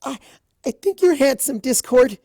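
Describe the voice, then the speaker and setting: A narrator putting on a high, timid young girl pony. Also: expressive, mellow, husky, dry recording, clean studio sound, heavily acted. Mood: tearful confession. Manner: halting, stammering, murmuring. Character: shy, timid, flustered young female pegasus pony.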